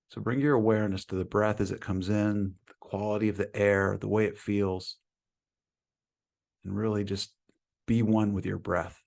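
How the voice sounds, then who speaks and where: Slow, low-voiced man guiding meditation. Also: creaky. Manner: calm, soothing, unhurried with long pauses. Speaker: meditation teacher. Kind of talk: guided meditation.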